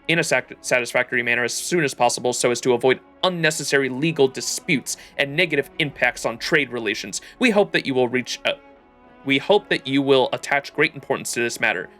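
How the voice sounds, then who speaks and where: A man's voice: medium pitch, pushed and bright. A man livestreaming a video game, reading its story text aloud and reacting to it.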